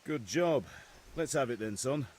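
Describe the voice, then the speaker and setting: An actor playing a middle-aged blacksmith father in a video game, gruff and authoritative. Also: mid-range voice, lively intonation, unhurried, darkish tone, a little hiss or hum, close to an everyday voice. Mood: pleased, businesslike. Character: stern middle-aged blacksmith father, proud of his craft. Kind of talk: video game cutscene acting.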